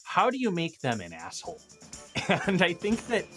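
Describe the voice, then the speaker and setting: A man speaking as a guest in a casual games discussion, thoughtful and a little halting. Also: high-pitched, wildly swooping pitch, music in the background. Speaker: podcast guest, game designer and writer.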